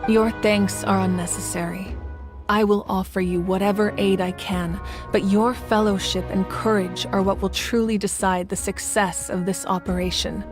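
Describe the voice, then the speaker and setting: An actress plays a dignified female ruler in a fantasy game. Her mid-pitched voice is measured and commanding, with warmth and resolve, over faint music. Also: steady tone, lightly acted. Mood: warm, gracious. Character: composed, commanding female nation leader, warm and resolute. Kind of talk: anime video game voice acting.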